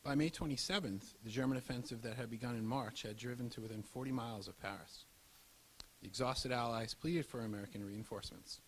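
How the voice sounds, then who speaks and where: A man's voice: mid-range voice, fairly quick, poor audio. Male history narrator with background hiss. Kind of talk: scripted historical documentary narration.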